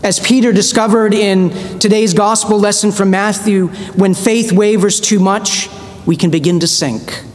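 A middle-aged man with a notably high voice delivers an earnest sermon from a church pulpit, slowing down to lay weight on his key phrases. His words carry a long echo through the sanctuary, with a faint low rumble beneath them. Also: fairly even intonation. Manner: measured, earnest preaching with slow emphatic phrases. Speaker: priest.

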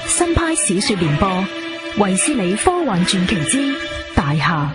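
A Cantonese woman reads a dramatic, scripted introduction to a radio serial. Her medium-pitched voice moves with lively intonation, and loud music runs beneath her. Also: measured pace.

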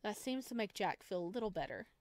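A woman's voice: mid-range voice, animated, fast talker, dry recording. A woman streamer reading a visual novel aloud expressively and dramatically, adding her own commentary, close to the microphone.

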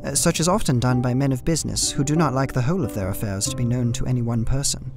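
Man acting young solicitor, mid-pitched, formal, measured, uneasy, journal narration, radio drama, guitar music underneath. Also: lightly acted. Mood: dutiful, uneasy. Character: earnest, uneasy young English solicitor writing his journal. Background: plucked guitar music.

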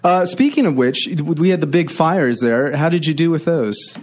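A man's voice: a little high, lively intonation, normal speed, darkish tone. A man hosting a conversational interview on the radio from a studio.

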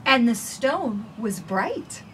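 A woman in her forties reading a picture book aloud for children with expressive, sing-song phrasing, recorded outdoors on a porch. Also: on the high side, takes it slowly. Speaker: children's storytime reader. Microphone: camera a few feet away. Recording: distant camera mic, faint outdoor noise.

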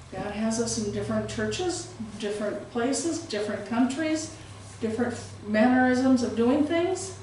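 A middle-aged woman preaching a sermon in a small church room, with a big, carrying voice and lively gestures, recorded without a microphone. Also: fairly high pitch, slight background noise. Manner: loud voice that carries, animated and gesturing. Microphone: far camera, no microphone. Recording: very echoey room, voice heard from a distance.

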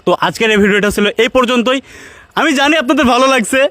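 Young male vlog host, Bangladeshi accent, high voice, casual code-switching, close dry sound. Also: quick pace, sharp tone, dry recording. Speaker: prank video host. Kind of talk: casual vlog talk mixed with English words.

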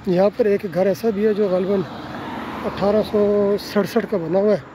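A Pakistani man with an Urdu accent narrating a walking tour of a quiet residential street, politely pointing out houses as he films on his phone. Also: high voice, steady tone, noisy background. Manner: polite, unhurried tour-guide commentary with frequent "ji" and "theek hai na". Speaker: travel vlogger. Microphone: handheld phone camera while walking.

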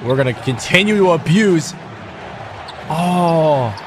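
Male gaming creator, slangy and excited, slightly high voice, casual commentary with clear noise behind. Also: slow. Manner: slangy, hyped, talking to himself and viewers. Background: basketball video game sounds.

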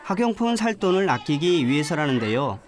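A man's voice: a little high, very animated, brisk. Man narrating a travel film over music. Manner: gentle reflective storytelling. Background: background music.

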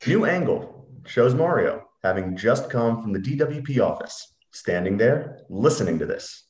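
A man's voice: medium pitch, big pitch swings, unhurried, a little mellow. A young man reading screenplay stage directions aloud as narrator on a video call. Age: young adult. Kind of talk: dramatic screenplay read-aloud. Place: room at home, on a video call. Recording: roomy, echoey video-call audio.

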